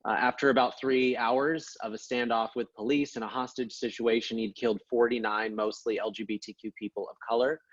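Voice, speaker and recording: A man from Oregon introducing himself as a podcast guest and advocate, speaking earnestly and in a measured way with frequent small fillers. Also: mid-range voice, no echo. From Portland, Oregon, USA. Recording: dull, dry, lo-fi sound.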